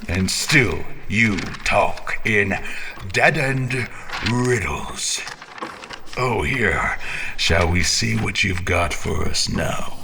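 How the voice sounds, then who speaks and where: A man playing an obsessed, tormented character in a fantasy audio drama, speaking in a theatrical, halting, brooding way with dramatic emphasis. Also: low-pitched, animated, gravelly, background noise, exaggerated voice. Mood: grimly defiant. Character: obsessed, tormented man addressing a cryptic book.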